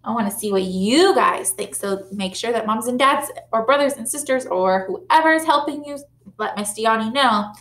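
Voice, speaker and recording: A young woman teacher reading a picture book aloud to young children, animated and sympathetic, on a close webcam in a classroom. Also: a little high, moderate pace. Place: classroom, indoors. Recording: roomy classroom echo.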